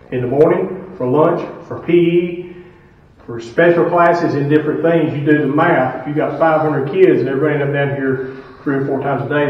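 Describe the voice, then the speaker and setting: A middle-aged man, a school custodian, giving plain-spoken step-by-step cleaning instructions and asking rhetorical questions. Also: fairly high pitch. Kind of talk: casual how-to instruction. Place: school stairwell, indoors, hard walls. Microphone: camera some distance off. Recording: echoey stairwell, distant and dull.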